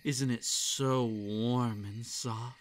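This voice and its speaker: A furry male forest creature with a tail, protective and teasing, as a man acts him out. He speaks extremely slowly in a hushed, coaxing murmur at a middling pitch. Faint crickets and an owl hum in the night behind him. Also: big pitch swings. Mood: soothing. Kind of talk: audio roleplay for a listener.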